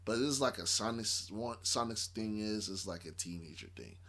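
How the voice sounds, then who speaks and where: A man's voice: lowish voice, brisk, fairly bright tone. A man in his thirties chatting casually to camera, giving opinions in a hesitant, rambling, repetitive way. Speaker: reaction video host. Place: small room indoors.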